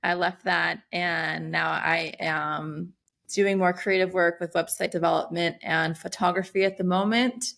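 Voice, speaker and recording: A woman's voice: lowish voice, steady tone, creaky, a bit bright. A middle-aged American woman co-hosting a podcast, speaking reflectively with frequent restarts. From United States. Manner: reflective, halting, many restarts. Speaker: podcast co-host. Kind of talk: casual podcast chat.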